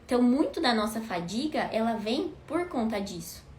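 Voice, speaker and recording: A woman in her thirties with a fairly high voice talks straight to her viewers in an easy, conversational way. She keeps putting rhetorical questions to them and then answers them herself. The recording is roomy and echoey, with a faint hiss underneath. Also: very animated, moderate pace, darkish tone. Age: 30s. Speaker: host. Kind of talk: casual talk to viewers. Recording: echoey room with faint noise.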